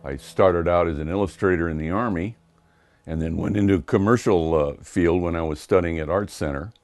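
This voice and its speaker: A man's voice: low voice, brisk, darkish tone. An American man in his sixties talking casually about his painting work. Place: indoor home studio.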